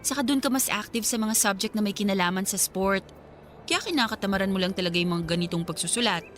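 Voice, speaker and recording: A woman's voice: mid-range voice, fast, a bit bright, a little hiss or hum, natural voice. A woman playing a young student girlfriend, affectionate but guarded, in a Tagalog radio drama. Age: young adult.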